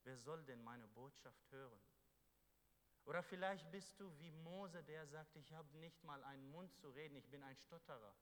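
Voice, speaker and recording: A man preaching a sermon to a congregation, fervent, moving between loud exhortation and quiet, earnest passages. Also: fairly high pitch, moderate pace. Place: church hall. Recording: echoey hall with faint hum.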